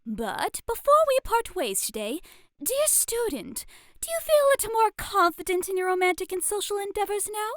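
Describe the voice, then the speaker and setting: A woman playing a playful, excitable lady tutor of flirtation in a scripted ASMR roleplay, speaking in a refined, gushing, theatrical manner. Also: very high voice, moderate pace, no echo, clean studio sound, heavily acted. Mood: playful, brisk.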